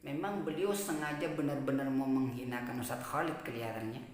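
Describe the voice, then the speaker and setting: A woman's voice: very deep voice, fairly even intonation, brisk, darkish tone. A woman with an Indonesian accent giving informal, opinionated commentary in an indignant, scolding manner full of rhetorical questions. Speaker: reaction video host. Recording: very echoey hall-like reverb.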